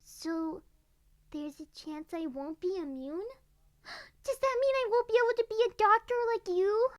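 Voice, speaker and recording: A woman's voice: extremely high pitch, a little slow, no room sound, clean studio sound. A woman playing an eager, curious young pony girl in an audio drama. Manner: earnest, inquisitive.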